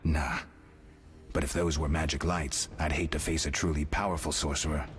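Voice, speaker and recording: An actor playing a stoic, world-weary monster hunter in a video game, speaking in a terse, low growl. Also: male voice, moderate pace. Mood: calm, dry. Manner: terse, flat, understated.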